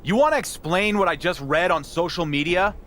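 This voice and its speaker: A man's voice: high-pitched, animated, brisk, fairly bright tone. A man playing a defiant, dry-witted underdog wrestler in a video game story scene. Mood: indignant. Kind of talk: video game cutscene acting.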